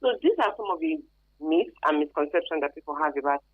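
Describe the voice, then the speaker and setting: A woman's voice: deep voice, animated, fast, no room sound. A woman with a Nigerian accent explaining things emphatically and conversationally as a guest expert in a radio interview, with local Pidgin tags. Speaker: guest expert on human trafficking. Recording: thin phone-like band-limited radio sound.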